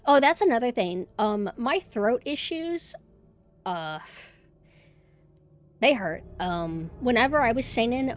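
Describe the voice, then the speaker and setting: A woman streamer with a monotone voice, chatting casually and rambling with lots of fillers and restarts. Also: mid-range voice, slight background noise. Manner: rambling, self-deprecating, many fillers and restarts. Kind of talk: casual chat.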